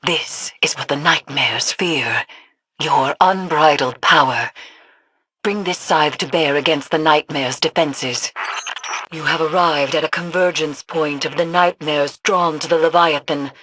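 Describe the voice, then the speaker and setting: Stern seer, low husky voice, solemn foreboding delivery, video game. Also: female voice, measured pace, fairly bright tone, full character voice. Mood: ominous. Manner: solemn, portentous, formal. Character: grave, ominous mystic woman, stern mentor. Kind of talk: dramatic game voice acting.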